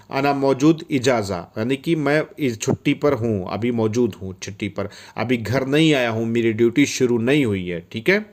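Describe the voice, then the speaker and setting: Slow-spoken man teaching Arabic. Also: medium pitch. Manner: repeats each phrase slowly in Hindi, English and Arabic; often checks in with "ठीक है?". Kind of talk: spoken Arabic lesson for Indian workers in the Gulf.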